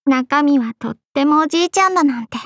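A woman's voice: high voice, lively intonation, fast talker, no echo, clean studio sound. An actress playing a poised little girl in a game, with a ladylike, playfully teasing manner. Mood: amused, teasing. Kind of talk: Japanese game character dialogue.

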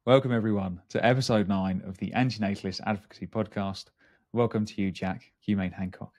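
A man's voice: on the low side, fairly even intonation, fairly quick, a little mellow, soft background music. A man hosting a podcast, introducing and interviewing a guest. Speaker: podcast host.

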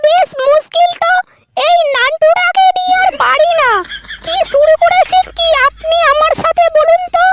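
A performer voicing a sassy young wife and daughter-in-law in a comic cartoon, coy and scolding through a squeaky pitch-shifted voice. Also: normal speed, background noise. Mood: coy, exasperated. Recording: music clearly behind the voice.

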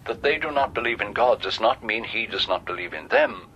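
An actor playing a stern, righteous cardinal in a radio drama, solemn and declamatory. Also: male voice, medium pitch, sing-song, fairly quick, gravelly, pushed and bright, dull audio, full character voice. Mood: righteous, dismissive. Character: stern, dogmatic male cardinal, righteous and unbending. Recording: band-limited radio sound with background noise.

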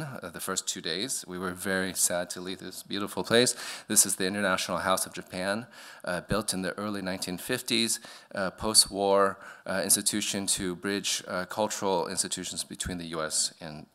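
A middle-aged architect, a man born in Vietnam and raised in the US, gives a slide lecture from a podium in an auditorium, pausing often with fillers and restarts. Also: low-pitched, fairly even intonation, sharp tone. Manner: hesitant, many fillers and restarts. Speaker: architect giving a talk. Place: dark auditorium stage at a podium.

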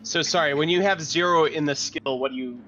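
A man's voice: a little high, brisk, sharp tone, faint music behind. A man chatting casually as a player in a tabletop game. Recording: dull lo-fi sound, faint noise.

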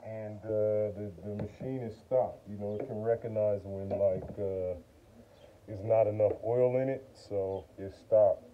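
A noisy outdoor phone recording of a middle-aged man with a fairly low voice. He talks informally and haltingly as he works, explaining things in folksy, slangy terms. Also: fairly even intonation, narrow, tinny audio. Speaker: vlogger demonstrating generator maintenance. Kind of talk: casual how-to chat while working. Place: outside by a wooden porch.

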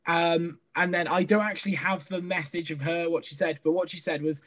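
A young man co-hosting a casual podcast over a video call from his bedroom, slightly hoarse from a cold, chatty and excitable. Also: a little high, a little fast. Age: young adult. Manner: excitable, chatty, laughs easily. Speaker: podcast co-host and rugby referee. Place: bedroom at home. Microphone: laptop webcam on video call.

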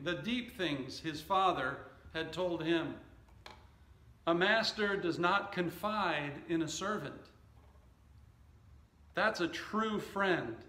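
A middle-aged man preaching a sermon as a pastor, speaking in measured, deliberate short phrases. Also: high-pitched, pushed and bright, echoey room. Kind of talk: sermon with scripture reading and anecdotes. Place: indoor church stage at a wooden lectern.

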